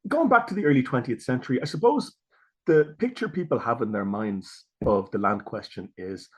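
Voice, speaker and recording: A man's voice: on the low side, husky. An Irish man hosting a history podcast, interviewing a guest in a conversational style.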